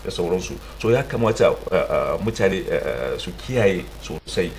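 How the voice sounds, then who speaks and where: A Nigerian man speaking as a radio studio guest in an emphatic, halting way, often repeating words as he explains. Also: low-pitched, big pitch swings, vocal fry, a little mellow, slight background noise. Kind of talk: radio interview in Hausa and English.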